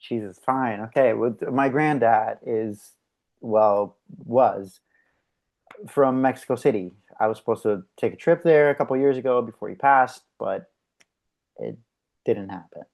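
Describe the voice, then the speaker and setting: A woman's voice: extremely low pitch, measured pace, darkish tone. A woman acting a curious, direct date in a casual, conversational screenplay read. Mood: reluctant, wistful. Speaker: actor at a live script read.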